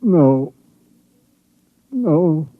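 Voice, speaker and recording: An actor playing a dazed, blinded crewman in pain, groaning and halting in a 1950s radio drama. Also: fairly high pitch, sing-song, no echo, heavily acted. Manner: halting, broken speech. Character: injured, blinded spaceship crewman, dazed and suffering. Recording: tinny, band-limited old radio recording.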